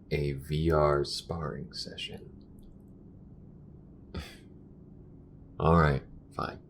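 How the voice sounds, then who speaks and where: A man playing a weary, grudging but caring spaceship crewman in an audio roleplay, talking in a halting, conversational way. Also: very deep voice, vocal fry, a little hiss or hum, exaggerated voice. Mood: wry, playful. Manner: halting, conversational, muttering at times. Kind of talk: intimate audio roleplay acting.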